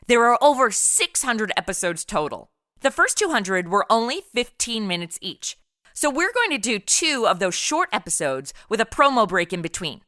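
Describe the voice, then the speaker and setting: Woman host, sarcastic, conversational comedic storytelling, forceful tone, lively pitch. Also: moderate pace, no echo, clean studio sound. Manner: sarcastic, cheeky. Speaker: comedy podcast host and narrator. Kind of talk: conversational comedic narration and acting.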